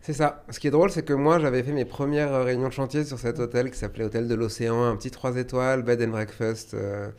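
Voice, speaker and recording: A man's voice: medium pitch, steady tone, fast talker, few pauses, a little mellow, clear, resonant tone. A man from France, born in Tunisia, speaking emphatically and conversationally as an interview guest, telling stories. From born in Tunisia, grew up in France. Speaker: podcast guest, hotelier.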